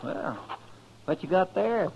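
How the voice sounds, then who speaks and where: Folksy deputy sidekick, higher voice, eager and polite, hissy muffled old radio. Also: male voice, animated, takes it slowly, vocal fry, full character voice. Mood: friendly, sheepish. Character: folksy, deferential, excitable marshal's sidekick, adult man. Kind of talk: 1950s western radio drama acting.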